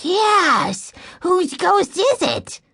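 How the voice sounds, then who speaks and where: A woman's voice: extremely high pitch, very animated, drawn-out words, fairly bright tone, dry recording, clean studio sound, voice pushed up, full character voice. A woman voicing a wide-eyed young boy who is blunt and wary.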